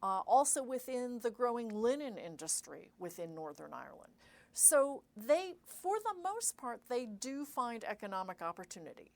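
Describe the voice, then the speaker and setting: A middle-aged woman historian answering interview questions in a measured way, pausing with fillers and correcting herself as she explains. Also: a little high. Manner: measured, thinks aloud with fillers and self-corrections. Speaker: historian guest.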